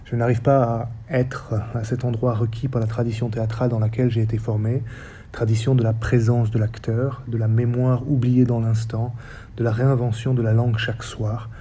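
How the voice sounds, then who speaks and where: A man in his forties with a faint Basque accent speaks in a very dark, mellow tone that stays fairly flat in pitch. He gives a reflective talk in measured, paused phrases, with a faint hum of ambient noise behind him. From French Basque Country. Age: 40s. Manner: measured, list-like delivery with short paused phrases. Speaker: stage director and researcher. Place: theatre stage or hall.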